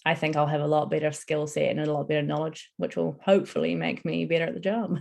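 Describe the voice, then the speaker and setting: A woman's voice: deep voice, level tone, fast talker, vocal fry, a little mellow. A young woman answering interview questions in a casual, earnest and enthusiastic way. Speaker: interviewee, construction apprentice.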